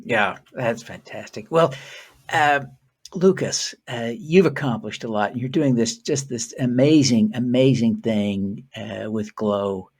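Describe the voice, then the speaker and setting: A man's voice: mid-range voice, slow pace, a little mellow, breathy edge. A man hosts an interview and frames his questions hesitantly, with many fillers. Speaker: interview show host. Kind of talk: conversational interview.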